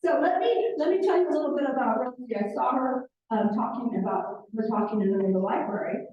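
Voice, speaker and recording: A woman's voice: a little high, a little fast, heavy reverb, recorded from a distance, dull audio, a little hiss or hum. A woman library director chatting through announcements, rambling with lots of fillers. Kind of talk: casual announcements and introduction. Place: library meeting room.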